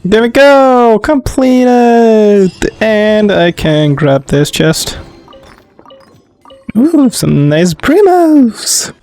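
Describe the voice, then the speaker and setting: High-voiced man doing casual gaming commentary. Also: animated, drawn-out words, darkish tone, smooth, faint music behind. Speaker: gaming video host. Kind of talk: casual let's play commentary.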